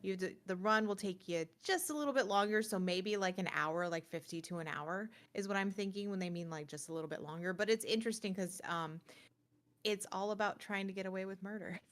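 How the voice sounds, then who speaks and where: Woman cohost chatting about games, medium pitch, relaxed everyday talk style. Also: brisk. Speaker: podcast co-host. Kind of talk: casual gaming chat and review.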